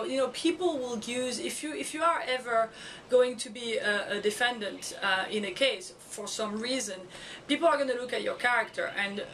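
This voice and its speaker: A woman's voice: a little high, a bit bright, far from the mic, slight background noise, rough recording. French-accented woman vlogging, a bit off-mic. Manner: halting, many fillers and restarts. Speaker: school educator and video blogger. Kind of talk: casual vlog talk to camera. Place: outdoors or home setting in Florida. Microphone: camera mic.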